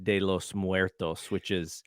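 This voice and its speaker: Lower-voiced man in casual podcast chat. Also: level tone, takes it slowly, dry recording, studio quality. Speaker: sports podcast co-host.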